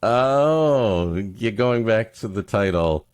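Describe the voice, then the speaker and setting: Man, podcast host, lowish voice, slow pace, wry casual banter. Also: lively intonation, a little mellow, clean tone, no room sound, soft background music. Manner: wry, joking.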